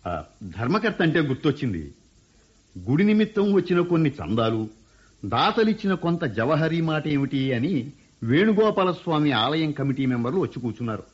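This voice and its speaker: A smooth-talking political son-in-law, lively and husky, lo-fi radio sound. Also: male voice, on the high side, fairly quick. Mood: persuasive. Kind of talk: 1970s-style radio play acting. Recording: dull lo-fi radio broadcast.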